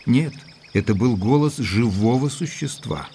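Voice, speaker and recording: An actor playing a reserved, sceptical gentleman investigator who tells his story in a measured way in an audio drama. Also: medium pitch, lively intonation, a little hiss or hum, natural voice. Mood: sceptical, puzzled. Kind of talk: dramatised first-person narration and dialogue.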